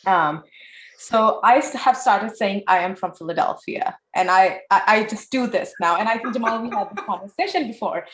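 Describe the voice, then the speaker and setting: A woman in her forties moderating a panel discussion, lively and acting out bits of dialogue. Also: medium pitch, moderate pace. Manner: lively, acts out dialogue in changing tones. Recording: echoey room sound.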